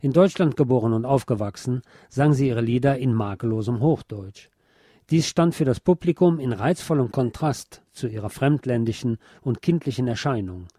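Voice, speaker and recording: A man reading a scripted documentary narration in a measured, articulate way. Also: mid-range voice, dark tone, no echo, clean studio sound.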